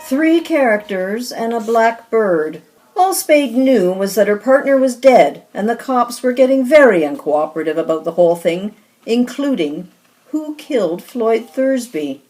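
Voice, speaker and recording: A woman narrating an amateur audio drama in brisk, expressive scripted narration. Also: a little high.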